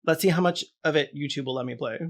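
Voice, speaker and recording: A man's voice: a little high, quick pace, slight background noise. A man hosting a scripted video essay, delivering commentary with sarcastic, wry asides.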